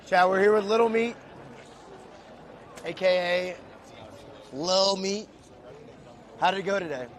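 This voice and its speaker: A man hosting a casual livestream, loud and hyped, talking to viewers and guests. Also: sits high, unhurried, pauses often. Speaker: host.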